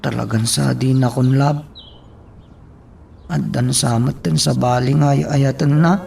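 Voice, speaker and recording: Casting: male actor for tormented romantic lead in radio drama, very gravelly, unhurried delivery. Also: mid-range voice, fairly even intonation, a little mellow, a little hiss or hum. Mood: brooding. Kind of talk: Ilocano radio drama acting.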